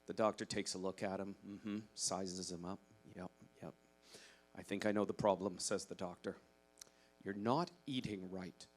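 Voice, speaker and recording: Man, lowish pitch, deadpan joke telling, live event recording, mains hum, sometimes off-mic. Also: moderate pace. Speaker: meetup attendee telling an opening joke.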